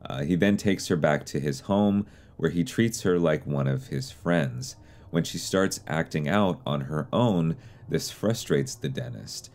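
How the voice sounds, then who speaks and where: Very deep-voiced man narrating an explainer. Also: unhurried, a little mellow. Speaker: video host and narrator. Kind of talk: explainer commentary on horror videos.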